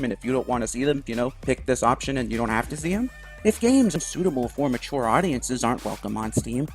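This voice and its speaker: A man with a slightly high voice delivers conversational opinion commentary. His pitch moves around in a lively way as he makes his points emphatically, with a wry, sarcastic edge. Music plays faintly behind him. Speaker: video commentator. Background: background music.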